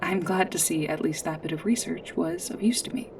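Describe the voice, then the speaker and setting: Low, formal female android guide, acted by a woman. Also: fairly even intonation, recorded from a distance, background noise. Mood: polite, pleased. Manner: formal, precise, literal wording with hesitant pauses. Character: polite, earnest female android guide on a snowy planet. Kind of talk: sci-fi ASMR roleplay. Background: music.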